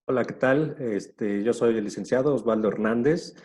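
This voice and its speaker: A man introducing himself as a business trainer on a video call, measured and slightly halting. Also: medium pitch, darkish tone. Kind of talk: self-introduction.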